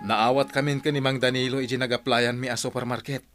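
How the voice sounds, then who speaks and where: Man playing devoted working father, Ilocano accent, medium-pitched voice, radio drama, music under the voice. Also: little pitch movement, quick pace, natural voice. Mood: upbeat. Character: hardworking devoted father in a village family. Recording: music behind the voice.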